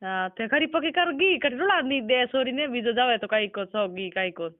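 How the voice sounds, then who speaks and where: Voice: medium pitch, lively intonation, moderate pace, dry recording. A person with a Rajasthani accent speaking informally on a phone call, asking insistent, repeated questions. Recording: muffled band-limited phone call recording.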